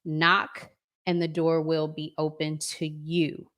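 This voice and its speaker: A woman hosting a live stream, giving casual, conversational commentary to viewers with deliberate pauses. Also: deep voice, completely flat delivery, fairly bright tone.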